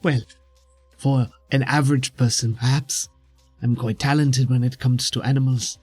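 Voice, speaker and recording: A man plays a haughty animal trainer in a tabletop roleplaying game. He phrases things formally and with measured self-regard. The pitch is middling and the sound is close and dry, with music behind. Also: fairly even intonation, a little mellow, slightly rough, no echo, natural voice. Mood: smug, measured. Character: self-assured captive male animal trainer, boastful and wry.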